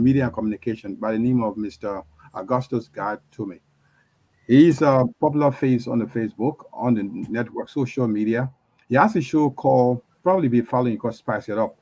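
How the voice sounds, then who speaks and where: A man with a mid-range voice acts as interviewer on a political programme. His phrasing is halting, and he often restates himself as he presents and prompts. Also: medium pitch, darkish tone, breathy edge. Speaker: host, interviewer. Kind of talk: hosting a political online interview.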